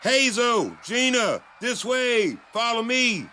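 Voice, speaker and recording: A man voicing a panicked father who shouts urgent orders in an audiobook drama. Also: very high-pitched, very animated, slow pace, a little hiss or hum, pitched above the performer's own voice, full character voice. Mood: frantic. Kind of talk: audiobook character acting.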